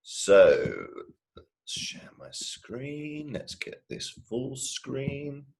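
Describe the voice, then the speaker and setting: A man reading poems and stories for children, expressively and deliberately, as a primary school storyteller. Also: mid-range voice, very animated, vocal fry, darkish tone.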